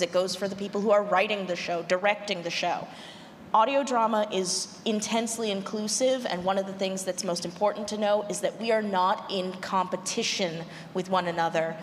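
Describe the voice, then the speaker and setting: A woman giving casual, encouraging advice as a panelist. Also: medium pitch. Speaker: panelist, showrunner. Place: large indoor convention panel room. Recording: reverberant noisy conference room.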